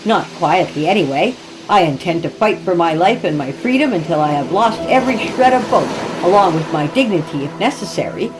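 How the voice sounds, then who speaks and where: A woman's voice: fairly low pitch, lively intonation, a little fast, hardly any pauses, noisy background, lightly acted. A woman voicing an elderly retired schoolteacher, prim, snappish and defiant, in a horror story reading. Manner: stern, snappish, indignant. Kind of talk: horror audiobook character.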